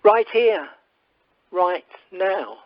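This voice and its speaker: A woman's voice: mid-range voice, animated, drawn-out words, dry recording. A woman playing a charming, forward male suitor in an amateur radio play recorded by telephone. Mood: flirtatious. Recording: muffled telephone conference line.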